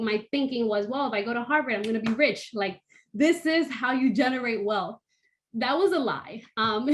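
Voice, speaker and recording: A young woman from the Dominican Republic, a panelist on a video call, telling her own story in a candid, conversational way. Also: fairly high pitch.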